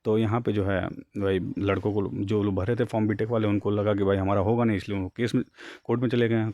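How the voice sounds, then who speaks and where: A man talks viewers through a document in an informal way. His voice is on the low side and quite mellow, with very little room sound. He drops English terms into colloquial speech as he reads and paraphrases. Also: no echo. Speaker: job news YouTuber.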